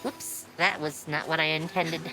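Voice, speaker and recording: A woman's voice: deep voice, flat delivery, moderate pace, poor audio. A woman giving casual commentary as she streams a video game. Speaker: let's play streamer. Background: game music.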